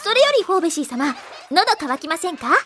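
A woman's voice: extremely high pitch, sing-song, fast, background noise. A woman playing a devoted, fussy young maid who speaks politely and emphatically in an anime-style audio drama. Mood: attentive, polite. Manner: polite, emphatic, attentive servant speech.

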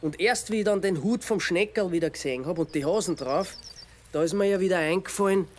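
An actor playing an earnest, insistent amateur detective in a radio play. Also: male voice, sits high, a little fast, slight background noise, natural voice. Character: amateur sleuth man, dogged and earnest.

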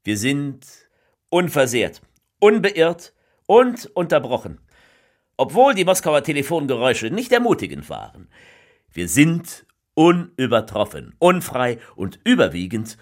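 An actor playing a grave, fervent political orator, delivering a rhetorical, slightly absurd theatrical speech with deliberate phrasing and emphatic repetition. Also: male voice, fairly high pitch, huge pitch swings, dry recording, clean studio sound. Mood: fervent, defiant.

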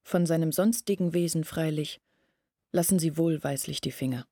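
An actress playing an anxious, reflective village woman in a literary radio play, earnest and deliberate, with a husky, breathy voice. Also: deep voice, mellow, no echo, clean studio sound. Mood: reflective, sceptical. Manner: earnest, deliberate, searching, questioning herself. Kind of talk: literary radio play acting, long monologues. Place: dry studio.

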